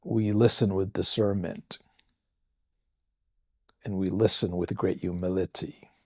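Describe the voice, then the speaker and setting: A Samoan man, a pastor, answering listener questions on a podcast in a slow, measured way with thoughtful pauses and a Samoan accent. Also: sits low, steady tone, darkish tone, no room sound, studio quality. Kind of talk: reflective podcast talk.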